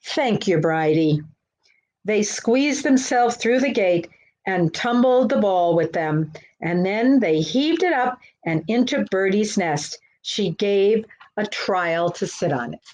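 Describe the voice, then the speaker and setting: Woman, children's storytime reader. Very slow, mid-pitched, pauses to show the pictures. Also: lively intonation, darkish tone, low-quality recording. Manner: unhurried, pauses to point out pictures. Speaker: children's librarian reading aloud. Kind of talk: picture book storytime narration.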